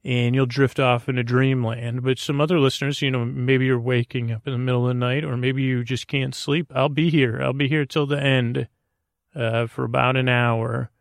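A man with a creaky, gravel-edged voice rambles through a bedtime podcast monologue. He talks hesitantly, circling and wandering from one tangent to the next. The sound is close and dry. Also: mid-range voice, level tone, normal speed, dry recording. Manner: meandering, hesitant, trailing off into tangents. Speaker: podcast host.

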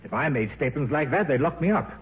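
An actor playing a gruff, sardonic senior English boss in a 1950s radio drama, brusque and commanding. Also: male voice, a little high, lively intonation, fast, highs cut off. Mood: sardonic, exasperated. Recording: thin, band-limited 1950s radio recording.

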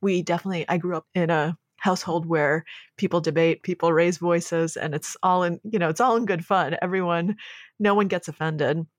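A woman's voice: lowish voice, fairly even intonation, brisk, dry recording, clean studio sound. A woman with an American accent, speaking as an interview guest in a reflective, articulate, conversational storytelling way. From born in Moscow, raised in the United States.

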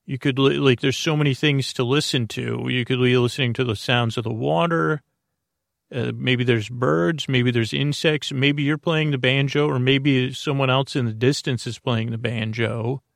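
Middle-aged guy drifting through a bedtime ramble, low gravelly voice, tons of uhs, dry close sound. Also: fairly even intonation, moderate pace, no room sound. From Bay Area, California. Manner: meandering, soft, hesitant with many uhs. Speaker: sleep podcast host.

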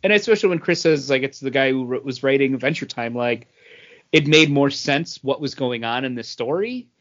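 The recording is a little dull and band-limited. A man with a middle-range voice chats casually as a podcast co-host reviewing comics. He speaks haltingly, working out his thoughts as he goes. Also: medium pitch, expressive, fairly bright tone. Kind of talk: casual chat.

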